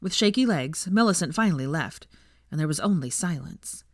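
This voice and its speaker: A woman narrator reads fiction aloud. Her voice sits low and moves expressively through each sentence. The recording is close and dry, with no room sound. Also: expressive, moderate pace, no room sound. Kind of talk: expressive scripted story narration.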